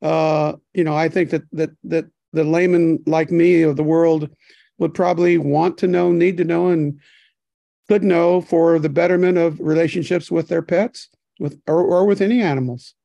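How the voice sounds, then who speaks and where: Halting older man on a dry video call. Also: fairly high pitch, fairly even intonation, gravelly, mellow, no room sound, dull audio. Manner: rambling, halting, many fillers. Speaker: podcast co-host. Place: home kitchen. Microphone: webcam on a video call.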